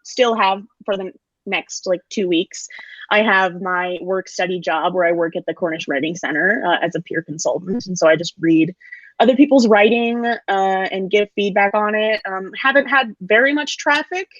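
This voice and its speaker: A woman's voice: medium pitch, moderate pace, a bit bright, no room sound. A woman in her twenties, a student actor, chatting casually and hesitantly as she thinks aloud. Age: 20s. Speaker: actor, graduating theater student. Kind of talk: casual chat. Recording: dry, slightly dull online audio.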